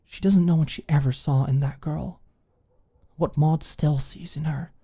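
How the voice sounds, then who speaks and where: Low-pitched woman narrating an audiobook. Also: steady tone, unhurried, warm tone, breathy edge. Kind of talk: expressive scripted story narration, ASMR audiobook.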